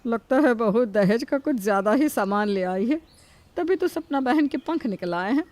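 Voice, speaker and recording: A woman's voice: fairly high pitch, lively intonation, normal speed, a little mellow, a little hiss or hum, natural voice. A woman playing a taunting, gossipy middle-aged neighbour in a cartoon story, sarcastic and needling. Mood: mocking. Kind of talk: Hindi cartoon story acting.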